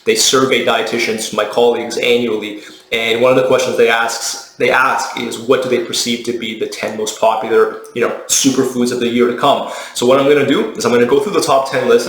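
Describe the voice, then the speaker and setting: A man speaking as a dietitian in a casual explainer video, conversational, matter-of-fact and opinionated. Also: mid-range voice. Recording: roomy, echoey indoor sound.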